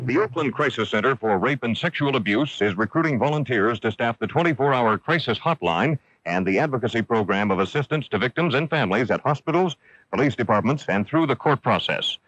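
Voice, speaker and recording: A male radio announcer reads a script in a medium-pitched voice. The sound is close and dry, dulled like an old AM broadcast. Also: animated, brisk, a bit bright, no room sound. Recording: dull old AM radio recording.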